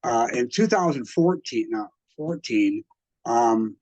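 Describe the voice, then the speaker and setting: A man's voice: medium pitch, lively intonation, darkish tone, dry recording. Interviewee, male, 60s, American. Hesitant, conversational collector looking back. Dry, slightly lo-fi sound. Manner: halting, hesitant, backtracks often. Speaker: radio collector and museum exhibitor, interviewee. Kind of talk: casual reminiscing interview.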